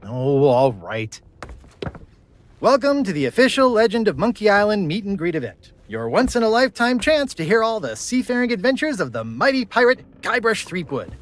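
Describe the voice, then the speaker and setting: A man's voice: high-pitched, sing-song, normal speed. A male actor playing a vain, boyish, self-important pirate hero with theatrical delivery in a video game. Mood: whiny. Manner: pompous, theatrical. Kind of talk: video game cutscene acting.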